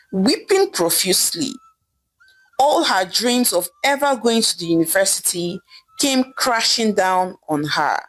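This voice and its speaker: A woman narrating a scripted folktale in a dramatic, expressive storytelling manner. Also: medium pitch, rough recording. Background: background music.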